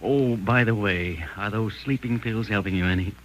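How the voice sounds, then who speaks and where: Actor as chatty druggist, polite, bright tone, slightly low pitch, faint old radio noise. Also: male voice, normal speed, close to an everyday voice. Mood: polite, curious. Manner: polite, inquiring. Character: courteous, chatty male druggist. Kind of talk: 1940s radio drama acting. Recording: noisy old 1940s radio recording.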